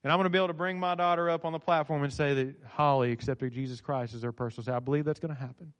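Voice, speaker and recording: A middle-aged pastor preaches in a church sanctuary, his voice sitting a little high for a man. He swings between ringing, forceful lines and quiet, almost confiding ones as he makes his points. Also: fairly even intonation, racing. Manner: dynamic preaching, swinging between loud and soft. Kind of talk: sermon.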